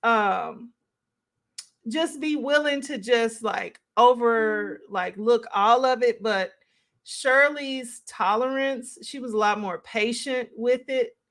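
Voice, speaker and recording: A woman's voice: fairly high pitch, slow. A woman hosting a live stream, giving casual, conversational reaction commentary as she thinks aloud and responds to chat.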